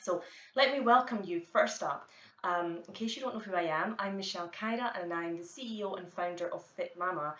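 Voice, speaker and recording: Chatty Scottish woman, low voice. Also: a little fast, fairly bright tone. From Scotland. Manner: chatty, direct, with frequent fillers. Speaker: fitness company founder. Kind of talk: casual vlog monologue. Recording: echoey, dull lo-fi room sound.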